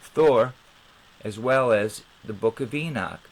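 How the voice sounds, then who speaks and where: Slow-talking man, informal host. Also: mid-range voice, poor audio. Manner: rambling, halting phrasing. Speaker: channel host. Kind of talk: informal explanatory monologue.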